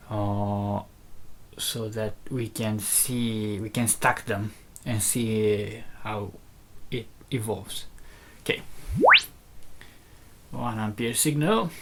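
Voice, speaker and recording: A presenter walks through a technical demonstration without a script. The voice sits at a medium pitch and moves very slowly. The delivery is hesitant, broken into short fragments as each step is explained. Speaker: presenter demonstrating audio equipment.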